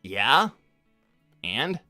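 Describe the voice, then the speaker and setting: A man voicing a cocky, easily exasperated teenage cartoon hedgehog, chatting off the cuff on a livestream in a casual, halting way that repeats itself. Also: medium pitch, sing-song, pushed and bright, no echo, soft background music, heavily acted. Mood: deadpan. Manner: casual, halting slacker drawl full of repetition. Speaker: livestream host answering viewer questions in character. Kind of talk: improvised in-character livestream chat.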